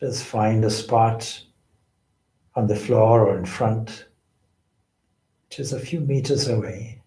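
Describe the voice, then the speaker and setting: A man leading a guided meditation as a spiritual teacher, with deliberate, measured phrasing and pauses. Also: fairly low pitch, dark tone. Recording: echoey room.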